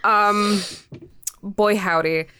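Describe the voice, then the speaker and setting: A woman's voice: medium pitch, fairly even intonation, very slow, sharp tone, smooth. A woman chatting casually as an interview guest, telling stories in a rambling, emphatic, informal way. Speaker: interview guest, creator of a podcast show. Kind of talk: casual chat, storytelling.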